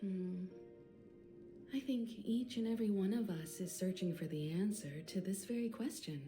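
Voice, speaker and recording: A woman plays a wise, gentle mentor in a space adventure game. She sounds composed and philosophical at a middling pitch, with music clearly behind her. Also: moderate pace, lightly acted. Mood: solemn, reflective. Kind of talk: video game voice acting. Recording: game audio under music.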